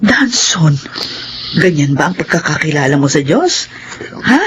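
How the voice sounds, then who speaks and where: An actress playing an elderly, caring grandmother who gently scolds in a motherly way, in a radio-style drama. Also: low-pitched, big pitch swings, unhurried. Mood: earnest. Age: older. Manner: motherly, chiding. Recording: dull audio with faint background noise.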